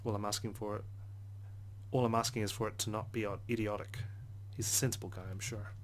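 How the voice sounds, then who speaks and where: A man reading visual novel narration aloud as a let's play host, subdued, with hushed asides. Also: lowish voice, level tone, fairly quick. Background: soft guitar music.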